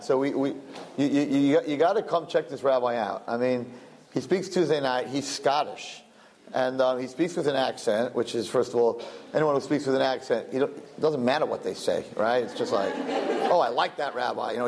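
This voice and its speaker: Brooklyn-accented male host, chatty and rambling, self-joking, mid-pitched voice. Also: a little mellow. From Brooklyn, USA. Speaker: event host. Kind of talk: live-streamed announcements.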